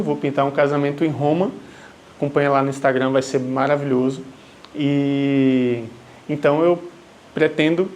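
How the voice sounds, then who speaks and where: Man from bahia, brazil, slightly higher voice, friendly and reassuring, talks straight to the viewer, answers questions to camera. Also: darkish tone. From Salvador, Bahia, Brazil. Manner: friendly, reassuring, addresses viewer directly with check-ins. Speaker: live wedding painter.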